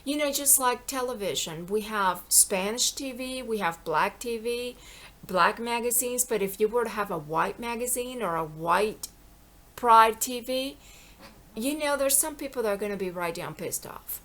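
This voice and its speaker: A woman's voice: fairly high pitch, animated, moderate pace, sharp tone. Woman, middle-aged, aggrieved monologue, rambling, repeats words, pleading. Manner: rambling, repeats herself, many "you know"s. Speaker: vlogger. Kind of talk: impassioned personal monologue.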